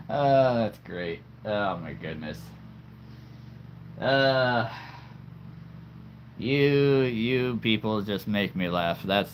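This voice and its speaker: A man's voice: on the low side, a little hiss or hum. A man hosting a livestream in casual chat, laughing often and stammering over repeated words. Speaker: livestream host.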